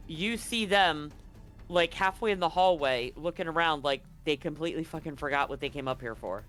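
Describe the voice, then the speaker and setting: A man's voice: fairly high pitch, normal speed, a bit bright. A man improvising casual, sweary narration as game master of a tabletop game. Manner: casual, profane. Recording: music behind the voice. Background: music.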